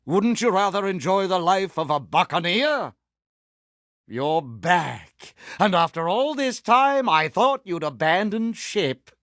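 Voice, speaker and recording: Vain pirate villain, British accent, highish swooping pitch, grandiose, mannered, theatrical game voice. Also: male voice, big pitch swings, slow pace, fairly bright tone, no room sound, studio quality, exaggerated voice. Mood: haughty, genial. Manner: pompous, grandiose, mannered formal speech. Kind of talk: theatrical video game character voice acting.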